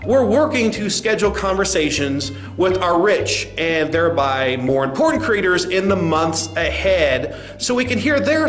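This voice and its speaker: A man playing a condescending, contemptuous corporate spokesman in a satirical comedy sketch, talking in halting mock-official phrases. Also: fairly high pitch, echoey room. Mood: smug, official. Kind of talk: satirical press conference acting.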